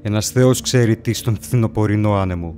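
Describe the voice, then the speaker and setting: A man playing a haunted, bewildered wanderer who narrates a gothic horror tale in a solemn, measured, dramatic way. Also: fairly low pitch, dark tone, faint music behind, close to an everyday voice. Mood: uneasy, astonished. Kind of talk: dramatic first-person audiobook narration.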